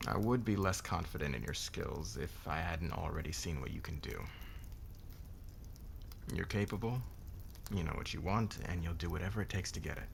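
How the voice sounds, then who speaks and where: A man playing a demanding, controlling boss in an ASMR roleplay, speaking in a measured, deliberate, commanding way with a gravelly voice. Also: deep voice, a little hiss or hum. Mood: cool, commanding. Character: demanding, controlling male boss, a powerful calm lord.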